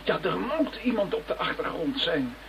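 A man's voice: a little high, sing-song, moderate pace, vocal fry, slight background noise. An actor playing an earnest detective in a radio drama, defending his case firmly and insistently. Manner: firm, insistent. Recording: dull lo-fi radio drama recording.

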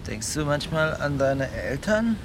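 An actor playing a gruff, weary polar expedition photographer in a radio play, blunt and given to swearing. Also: male voice, fairly high pitch, big pitch swings, unhurried, background noise. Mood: wistful, musing. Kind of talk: German radio play acting.

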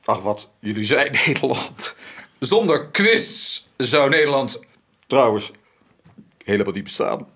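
An actor playing a provocative rebel son of a grand family, declamatory and mocking, in a radio drama. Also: male voice, mid-range voice, very animated, measured pace, pushed and bright, full character voice. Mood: scornful.

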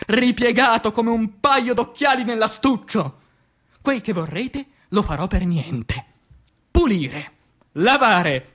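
An anguished revolutionary poet, acted by a man in radio theatre, declaiming verse in a high-pitched male voice. The delivery is slow and theatrical, with weighty pauses and passionate swings from hushed to loud. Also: full character voice. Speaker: stage actor.

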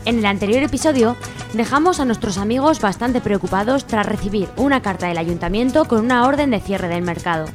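A woman with an accent from Spain reading scripted narration for a radio serial. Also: medium pitch. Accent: Spanish from Spain. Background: music behind the voice.

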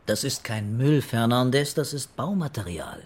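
An actor playing a dogged male police commissioner with a husky voice in a radio crime drama. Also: medium pitch, expressive, moderate pace. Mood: dry, insistent.

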